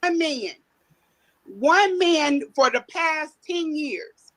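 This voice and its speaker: A woman's voice: high voice, lively intonation, very slow, fairly bright tone, poor audio. A woman in her forties bluntly and crudely defending her personal history in an interview clip. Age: 40s.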